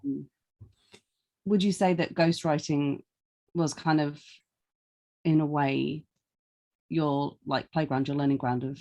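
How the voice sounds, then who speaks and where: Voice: medium pitch, steady tone, slow pace, pauses often, a little mellow. A co-host relaying listener questions in a chatty, jokey manner. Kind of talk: casual chat.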